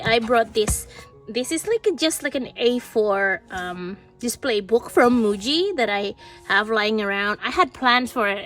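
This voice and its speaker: A woman's voice: on the high side, a bit bright. A woman vlogger chatting casually as she shows stationery on her desk, her voice a bit congested from a cold, with many fillers and restarts. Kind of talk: casual show-and-tell chat. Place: indoors at a desk.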